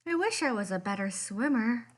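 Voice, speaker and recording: A woman's voice: fairly high pitch, animated, moderate pace. A woman voicing a naive, earnest young unicorn in a children's storybook, with a childlike manner. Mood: wistful.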